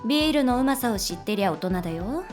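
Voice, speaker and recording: Actress as breezy, blunt female teacher, lively mid-pitched voice, teasing and casual, audio drama with faint music behind. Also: quick pace, close to an everyday voice. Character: easygoing, teasing adult woman teacher who loves beer. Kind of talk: Japanese voice drama acting.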